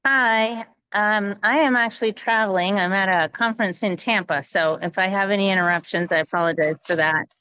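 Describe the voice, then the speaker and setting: A woman's voice: medium pitch, brisk, few pauses, pushed and bright, clear, resonant tone, no room sound. A middle-aged woman introducing herself casually as a volunteer on a group video call. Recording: slightly dull video-call audio.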